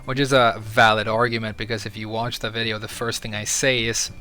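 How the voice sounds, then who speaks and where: Earnest man with a flat, bright voice. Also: fairly low pitch, a little fast, faint music behind. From Sweden. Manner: earnest and candid, hesitant with fillers. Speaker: YouTuber. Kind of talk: casual talk to camera.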